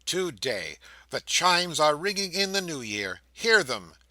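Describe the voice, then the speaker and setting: A headstrong young groom, eager and hearty, as a male reader plays him. His voice is bright and animated. Dry and close sound. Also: fairly high pitch, a little slow, no room sound, pitched above the performer's own voice, heavily acted. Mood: elated.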